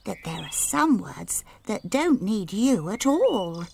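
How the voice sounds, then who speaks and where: A woman's voice: mid-range voice, big pitch swings, slow pace, creaky, dark tone, a little hiss or hum, voice pushed up, exaggerated voice. Woman voicing a bubbly adventurous girl. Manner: bubbly, enthusiastic. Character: cheerful adventurous young girl.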